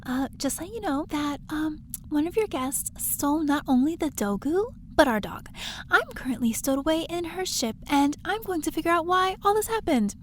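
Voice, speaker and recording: A woman's voice: high-pitched, lively intonation, moderate pace, a little hiss or hum. A woman playing a plucky, wry adventurer who narrates her own story in a science fiction audio drama. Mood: hushed, sheepish. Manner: wry, breezy. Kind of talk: first-person scripted narration, audio drama.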